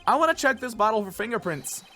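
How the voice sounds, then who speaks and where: A man voicing an earnest, excitable young male defense attorney from a video game, with a dramatic manner. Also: high-pitched, fast, faint music behind, pitched above the performer's own voice, exaggerated voice. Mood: eager.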